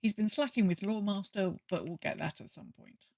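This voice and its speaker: An enthusiastic woman hosts a gaming podcast in loose, rambling chat. Her pitch sits in the middle of the female range. The sound is dry, with very little room in it. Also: fairly quick, vocal fry, a little mellow, dry recording. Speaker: podcast host. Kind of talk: casual podcast chat about a video game.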